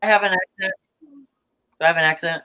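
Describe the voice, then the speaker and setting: A man's voice: sits high, steady tone, fast talker, pushed and bright, dry recording, rough recording. A playful, teasing man, chatting casually on a livestream video call. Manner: playful, teasing, plays with accents.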